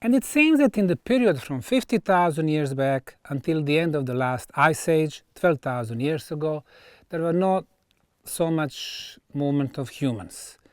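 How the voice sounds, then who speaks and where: A man who speaks English as a second language gives an educational talk, phrasing deliberately with emphatic pauses. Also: on the high side, animated, no echo, studio quality. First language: not English. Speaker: researcher and presenter. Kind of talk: educational talk on archaeology, delivered on site.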